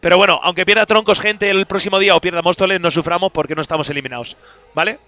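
Bright high-voiced man on a headset. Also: fairly even intonation, very fast, dry recording. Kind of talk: casual stream chat. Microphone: headset mic.

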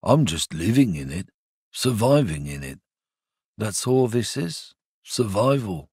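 An actor playing a surly, hostile lorry driver in a video game, sneering and swearing constantly, with a husky voice. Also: male voice, deep voice, a little mellow, dry recording, full character voice. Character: surly bigoted male lorry driver, middle-aged, hostile. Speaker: game character. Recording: dry close studio recording.

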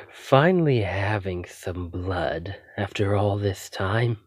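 A man playing an obsessive, possessive vampire in an ASMR roleplay, condescending, commanding and deliberate. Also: low voice, no room sound, studio quality. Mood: savoring, pleased. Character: possessive, obsessive male vampire, smugly menacing. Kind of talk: ASMR roleplay, scripted acting.

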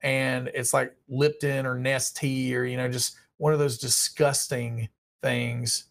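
A man's voice: mid-range voice, little pitch movement, slow pace, gravelly. A man in his thirties hosting a live viewer question stream, his voice partly worn out, reading questions and answering in a rambling, offhand way. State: partly lost voice. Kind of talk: casual live Q&A. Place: small dim room indoors.

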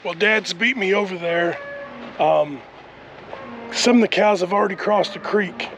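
A man in his thirties who has lost his voice to a cold, talking hoarsely and haltingly to a camera held at arm's length on a rainy farm. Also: high-pitched. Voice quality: hoarse, strained. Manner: plain, halting with fillers. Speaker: farm vlogger. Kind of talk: casual vlog talk to camera. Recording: noisy outdoor recording.

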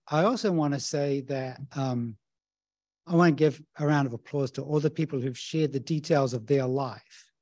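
A man's voice: on the high side, warm tone, dry recording. A man from New Zealand with a New Zealand accent, giving a casual, chatty conference presentation as a professor, often tagging "right?". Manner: casual, chatty, tag questions.

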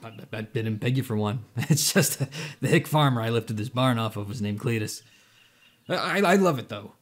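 An actor playing a chatty, eager, encouraging oddball in an audio drama, stammering and effusive. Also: male voice, mid-range voice, lively intonation, normal speed, close to an everyday voice. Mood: amused.

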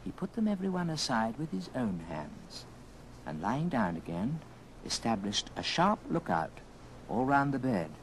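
A man's voice: medium pitch, big pitch swings, slight background noise, poor audio. Theatrical man narrator, old radio drama, mid voice that rises and falls a lot. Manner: theatrical, measured phrasing. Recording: old record, music behind.

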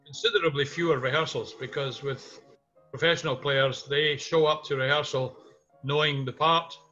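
A man's voice: on the high side, fairly even intonation, normal speed, sharp tone. An older man from Scotland with a Scottish accent, reminiscing thoughtfully and hesitantly in an interview over a video call. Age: 60s or older. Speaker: interviewee, community theatre music director. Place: small home room indoors. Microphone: webcam video call. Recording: muffled video call audio, music underneath.

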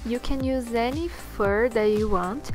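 A young woman from Brazil with a Brazilian Portuguese accent, talking through a sewing tutorial in her second language with chatty, slightly halting phrasing. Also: a little high, a little mellow, soft background music. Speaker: sewing channel host. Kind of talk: friendly sewing tutorial talk and voiceover.

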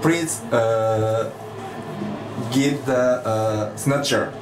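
A man with a Japanese accent, chatting casually in halting learner English and searching for words. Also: medium pitch, background noise. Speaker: vlogger, English student. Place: small indoor lesson cubicle. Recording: echoey small room.

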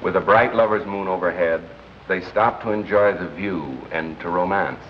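A man's voice: sits low, lightly acted. Suave old-time crime newscaster man played by an actor, muffled. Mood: measured, wry. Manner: measured, wry, sardonic. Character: suave, dryly witty male radio crime newscaster. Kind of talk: old-time crime drama acting with broadcast announcer delivery. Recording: dull, noisy old film-era soundtrack.

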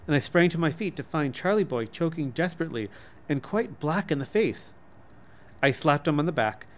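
Halting man reading a story aloud. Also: a little high. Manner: halting, often stumbles and rereads words. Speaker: reader. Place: small office indoors. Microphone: desk microphone, close.

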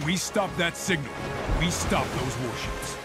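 A determined superhero commander in a video game. His pitch is fairly high as he gives orders, and loud music plays behind him. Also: lively intonation, measured pace. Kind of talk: video game combat dialogue.

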